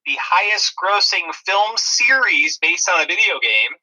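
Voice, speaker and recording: A man with a strikingly bright, sharp voice that sits a little high. He talks casually as a podcast co-host reviewing movies, slipping in dry, wry remarks. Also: very animated, unhurried, breathy edge, low-quality recording.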